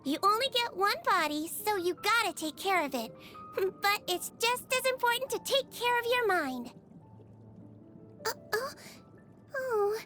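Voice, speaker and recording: A woman voicing a small, sweet young Melusine nurse in a video game, with a gentle, caring, bubbly manner. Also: very high voice, animated, a little slow, pauses often, soft background music, heavily acted. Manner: gentle, cutesy, bubbly, attentive bedside manner. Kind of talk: video game character voice lines.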